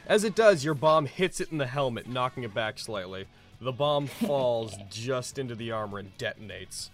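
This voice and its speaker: A man narrating a tabletop game as game master, halting and conversational, with dramatic descriptions. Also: medium pitch, expressive, faint music behind.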